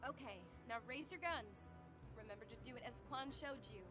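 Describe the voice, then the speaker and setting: A woman's voice: on the high side, brisk, close to an everyday voice. A woman voicing a stern, sarcastic young female firearms instructor in an anime visual novel, bossy and exasperated. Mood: firm instructing. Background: game music.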